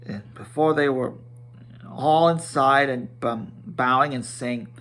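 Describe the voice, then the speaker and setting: Male amateur storybook reader, unhurried, mid-pitched, theatrical but prone to small stumbles. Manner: expressive, stumbling over words with asides. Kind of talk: dramatic storybook narration with character voices.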